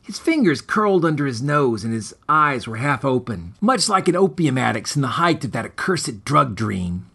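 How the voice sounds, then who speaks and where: A man's voice: medium pitch, very animated, moderate pace, vocal fry, hardly any pauses, sharp tone, breathy edge. A man hosting and reading his own story aloud in a wry, mock-grave, dramatic manner.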